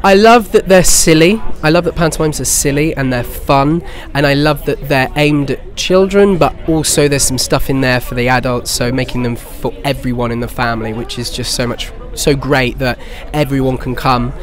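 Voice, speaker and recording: A man's voice: mid-range voice, steady tone, normal speed, few pauses. A man in his twenties from southern England with an English accent, answering interview questions casually as an actor. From Bournemouth, England. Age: 20s. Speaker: pantomime actor.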